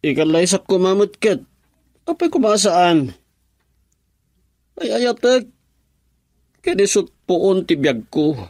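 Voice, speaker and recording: A man's voice: high-pitched, sing-song, slow, pauses often, darkish tone, dry recording, studio quality, exaggerated voice. A man with an Ilocano accent playing a heartbroken young husband in a radio drama. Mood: anguished.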